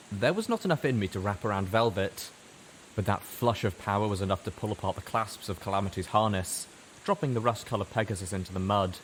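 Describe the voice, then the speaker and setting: Low-voiced man narrating dramatic fiction. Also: poor audio. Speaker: story narrator. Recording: faint background hiss.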